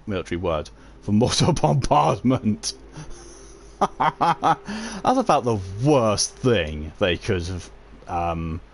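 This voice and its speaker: A man's voice: fairly low pitch, slight background noise. A man casually chatting while streaming a video game, rambling and often chuckling as he answers chat. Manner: reads and answers chat messages while playing.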